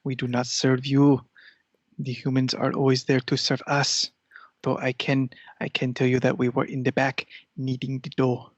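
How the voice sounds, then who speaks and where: Male actor as pompous cat who expects to rule; formal, monotone delivery, dry but slightly muffled sound. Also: medium pitch, moderate pace, no echo, natural voice. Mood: disdainful. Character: haughty male talking cat with plans of world rule. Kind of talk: improvised comedy podcast character interview.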